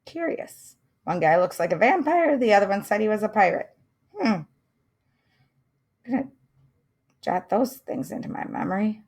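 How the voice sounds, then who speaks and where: A woman reading a children's mystery chapter aloud, pausing to comment and wonder aloud to her listeners. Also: medium pitch, animated, a little mellow, breathy edge. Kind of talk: read-aloud storytelling with asides.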